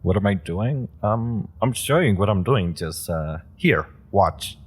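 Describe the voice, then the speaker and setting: A man acting out a fanboyish young superpowered rookie who rambles, trips over his words and keeps breaking into giggles. The voice sits low with a husky edge. It swings lively in pitch while the delivery stays unhurried, in an audio roleplay drama. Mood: eager. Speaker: voice actor.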